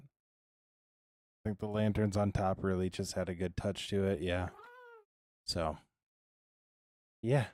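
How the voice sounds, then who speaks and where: A man chatting casually on a livestream while building in a game, his voice hoarse, trailing off with easy fillers. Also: low-pitched, a little mellow, dry recording. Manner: laid-back, rambling with trailing "so" and "yeah". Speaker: streamer.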